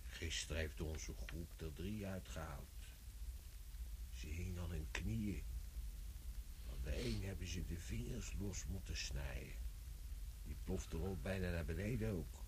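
A man's voice: low voice, measured pace, pauses often. A man acting in a radio play as an aging, chatty, stubborn and touchy labourer. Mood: gossipy. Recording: noisy old radio play recording.

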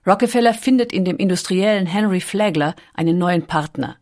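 A woman's voice: lowish voice, a little fast, darkish tone. A woman reads scripted documentary narration as an audiobook narrator.

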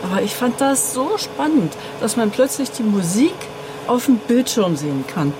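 A woman's voice: on the high side, expressive, moderate pace. An older woman talking casually and with enthusiasm. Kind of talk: casual conversation. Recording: clearly noisy, busy ambient background.

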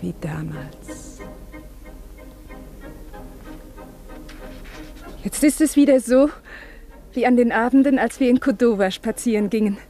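A nostalgic, devoted former governess in a radio play. She is formal, hesitant and anxious to please, with a fairly high, soft-toned voice. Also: expressive, normal speed, faint music behind. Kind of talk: German radio drama acting.

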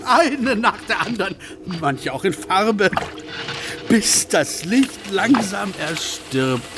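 A man's voice: high-pitched, sing-song, slow pace, background noise, exaggerated voice. An actor playing an effusive, gallant male railway engineer in a satirical radio play, gushing in florid, formally polite phrases. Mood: excited awe.

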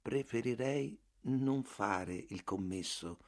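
A man voicing a meek, quiet male clerk who answers softly, slowly and flatly. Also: mid-range voice. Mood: calm, stubborn. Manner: soft, slow, flat and calm.

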